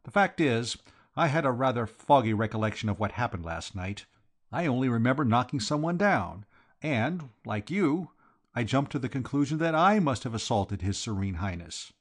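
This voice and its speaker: A man voicing a flustered young gentleman, defensive yet meek and polite, in a comic storybook reading. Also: mid-range voice, lively intonation, normal speed, pitched above the performer's own voice, lightly acted. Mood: sheepish.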